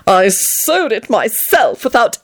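A woman voicing a flamboyant, melodramatic man who gushes endearments and gossip in a shrill, theatrical storybook manner. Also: sing-song, takes it slowly, fairly bright tone, full character voice. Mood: proud. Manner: effusive, melodramatic, swooning endearments.

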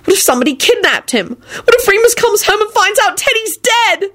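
A woman's voice: medium pitch, big pitch swings, a little fast, slightly rough. A woman voicing a bossy, hot-tempered and anxious young woman in an audiobook, urgent and emphatic. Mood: frantic wailing. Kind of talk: audiobook character voice.

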